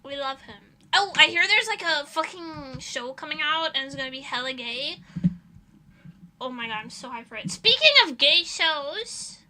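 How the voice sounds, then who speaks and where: A woman chatting casually on a livestream in a playful, rambling way with animated asides, a native Portuguese speaker. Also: sits high, normal speed. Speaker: streamer.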